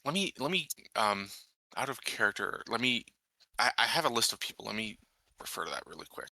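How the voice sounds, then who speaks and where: A man's voice: lowish voice, big pitch swings, brisk, gravelly, pushed and bright, no echo. A man chatting casually as a tabletop game player, hesitant and questioning. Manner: hesitant, questioning, restarts to think.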